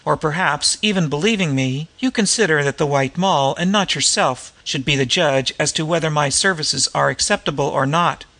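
Man playing a bright-voiced, suave gentleman adventurer. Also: on the high side, moderate pace, few pauses. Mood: courteous, probing. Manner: grave, formal, imperturbable. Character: suave, unflappable gentleman adventurer, courteous and formal.

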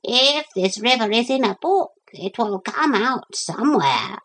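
High-pitched talking parrot, prim and formal, slow delivery, very lively swoops, storybook style. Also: female voice, heavily acted, low-quality recording. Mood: grave, resigned. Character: talking parrot, prim, anxious, worldly-wise adviser.

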